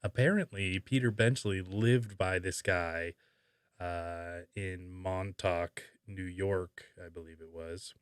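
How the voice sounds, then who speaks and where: A man with a low voice talks casually as a podcast host. He pauses and gropes for words, thinking aloud, in a close, dry recording. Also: steady tone, clear, resonant tone, no room sound. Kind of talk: casual conversational podcast talk.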